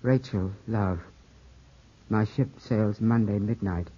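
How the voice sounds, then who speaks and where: An actor playing a suspicious, tormented young gentleman, intense and dramatic in 1950s radio drama style. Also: fairly low pitch, takes it slowly, husky. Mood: grave. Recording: muffled, hissy old 1950s radio broadcast.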